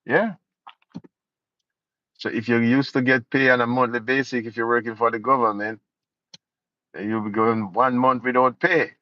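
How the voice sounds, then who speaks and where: A man's voice: medium pitch, steady tone, normal speed, long pauses, no room sound. A Jamaican man giving casual political commentary, indignant and sarcastic. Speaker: vlogger. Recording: dull lo-fi dry sound.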